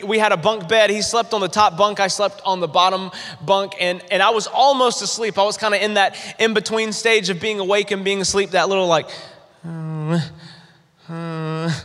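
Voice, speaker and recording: A pastor from North Carolina preaching an energetic sermon, full of quick restarts and calls to the congregation. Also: male voice, high-pitched. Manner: energetic, stammering restarts, calls for audience response. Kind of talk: sermon with humorous storytelling. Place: large church auditorium. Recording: long reverb of a large hall.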